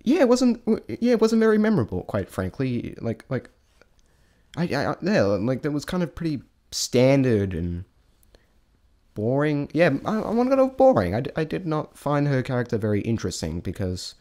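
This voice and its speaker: A man's voice: mid-range voice, huge pitch swings, normal speed, mellow. A man giving a casual, rambling spoken review, often restarting his sentences and stating blunt, informal opinions. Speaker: vlogger reviewing a stage show.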